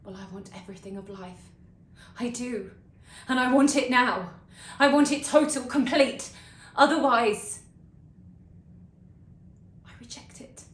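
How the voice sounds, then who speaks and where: A woman's voice: a little high, unhurried, long pauses, close to an everyday voice. A woman playing a defiant, uncompromising young heroine in a dramatic stage monologue, scornful and emphatic. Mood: defiant, furious. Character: defiant, uncompromising young tragic heroine refusing a humdrum life. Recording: echoey room, background noise.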